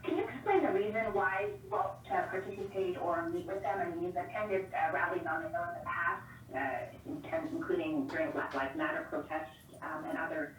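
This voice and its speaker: A woman's voice: fairly low pitch, a little fast, hardly any pauses. A woman speaking as a journalist, putting a question at a press conference. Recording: roomy, distant mic, faint noise.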